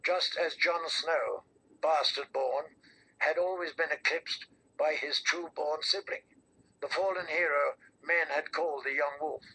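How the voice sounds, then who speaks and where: A man with a raspy voice reading a scripted fantasy novel as an audiobook narrator. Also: on the high side, fairly even intonation, unhurried, pushed and bright, poor audio.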